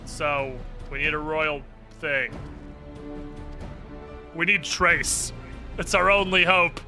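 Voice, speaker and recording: A man's voice: fairly high pitch, takes it slowly, sharp tone. A man doing casual gaming commentary in a sarcastic, mock-dramatic and profane way. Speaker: game streamer. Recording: music playing behind. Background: game music.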